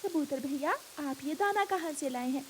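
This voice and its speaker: A woman's voice: high-pitched, normal speed, a little hiss or hum, lightly acted. A woman voicing a caring, determined mother bird in a cartoon, speaking earnestly and with some worry.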